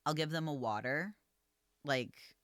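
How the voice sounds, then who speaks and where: A man who manages a coffee shop, talking casually and calmly, with a voice that projects and lots of "like" and "um.". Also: fairly high pitch, unhurried, clean tone, no room sound, studio quality. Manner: calm, easygoing, filler-heavy with lots of "like" and "um". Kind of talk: casual podcast chat.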